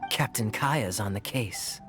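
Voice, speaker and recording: A man's voice: fairly low pitch, measured pace, over music, close to an everyday voice. A man playing a suave, playful, roguish young captain in a video game, with teasing, theatrical delivery. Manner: teasing, theatrical, smooth talking. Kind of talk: animated game character acting.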